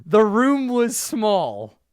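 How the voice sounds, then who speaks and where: A man's voice: sits high, clear, resonant tone, dry recording, clean studio sound. A man reading a story aloud for laughs, stopping often to laugh and make profane, mocking asides. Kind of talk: comedic reading with commentary.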